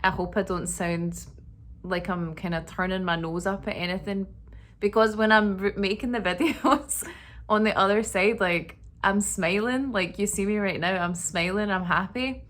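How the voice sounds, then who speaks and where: A woman vlogging a casual apartment tour indoors, talking in a monotonous, relaxed way with lots of fillers. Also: mid-range voice, moderate pace. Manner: monotonous, flat delivery; relaxed, filler-heavy talk.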